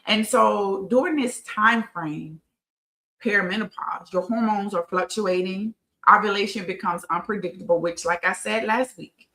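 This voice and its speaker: A woman's voice: medium pitch, moderate pace, sharp tone, low-quality recording. The fifty-year-old woman you would hear hosting an evening women's health session, explaining things conversationally and driving points home with emphatic repeats. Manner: emphatic, conversational, repeats phrases for stress and checks in with "right?". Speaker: host of a women's health talk show. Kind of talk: informal educational talk with personal anecdotes.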